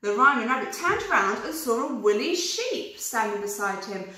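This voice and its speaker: A British woman reading a storybook to young children like a teacher, pausing to explain, in an echoey room. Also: a little high, sing-song, pushed and bright. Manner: explains words to young listeners. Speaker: primary school teacher reading aloud.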